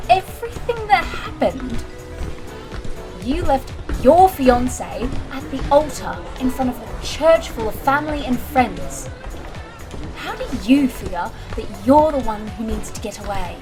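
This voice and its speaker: A woman's voice: high-pitched, big pitch swings, unhurried, over music. A woman acting a sceptical, blunt travelling companion who scolds with pointed sarcasm in an audio drama. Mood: scolding, sarcastic.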